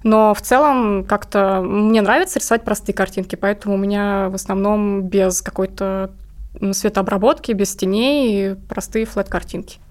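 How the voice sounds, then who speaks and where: A woman's voice: mid-range voice, fairly even intonation, normal speed. A woman speaking casually as an interview guest, talking about her own work as an illustrator.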